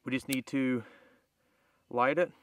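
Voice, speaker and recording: A man's voice: mid-range voice, steady tone, slow, a bit bright. A man giving a casual step-by-step bushcraft tutorial outdoors, explaining in a plain-spoken, informal way. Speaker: bushcraft demonstrator, host. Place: outdoors in woodland.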